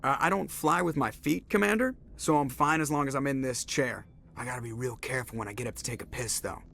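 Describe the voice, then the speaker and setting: A man's voice: mid-range voice, quick pace, creaky, a bit bright, slight background noise. A man playing a cocky, sarcastic young starship pilot in a video game, with a brash, defensive manner. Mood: matter-of-fact, wry. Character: cocky, wisecracking male starship pilot with brittle bones.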